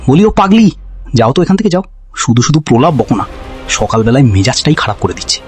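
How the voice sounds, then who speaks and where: A man's voice: medium pitch, big pitch swings, quick pace, a little mellow, faint music behind, natural voice. A man as a brusque, swooping scornful villager. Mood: scornful, irritated. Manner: brusque, scolding. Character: irritable, scornful villager scolding an old beggar. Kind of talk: acted folk-tale drama.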